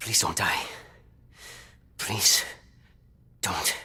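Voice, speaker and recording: A man's voice: sits low, extremely slow, sharp tone, breathy edge, whispered, full character voice. A man playing a desperate, emotional military pilot in a cinematic video game, speaking with raw urgency. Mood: anxious, pleading. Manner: urgent, anxious muttering and pleading.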